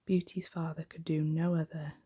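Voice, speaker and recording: A woman gently reading a bedtime story in an unhurried, soft, whispery ASMR style. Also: sits low, little pitch movement. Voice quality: breathy, whispery.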